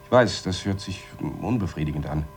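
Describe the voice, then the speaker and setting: An actor playing a matter-of-fact space lab astronaut in a radio drama, explaining in a measured, dryly sarcastic way. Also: male voice, deep voice, animated, faint music behind. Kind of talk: radio drama acting, spoken report.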